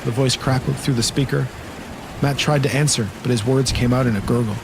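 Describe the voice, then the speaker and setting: A man's voice: mid-range voice, fairly even intonation, a little fast, creaky, background noise. A man narrating a scripted horror audio drama in a dramatic storytelling style. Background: storm rain sound effects and music.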